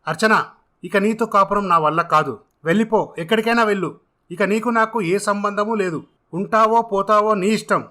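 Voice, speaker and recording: A young village husband in a storybook drama , acted out by a man, irritable and dismissive. He gives blunt orders in a bright, slightly high voice. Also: normal speed. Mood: angry, rejecting.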